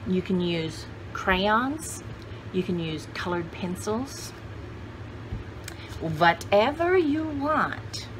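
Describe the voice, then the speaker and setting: An older woman talks at a slow, unhurried pace in a casual, rambling show-and-tell style. Her pitch sits in the middle for a woman, and she often thinks aloud. The recording is a homemade one with clear background noise. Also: lively intonation. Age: older, about 60s. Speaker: vintage haul video host. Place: small indoor room with cluttered shelves. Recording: clearly noisy home camera recording.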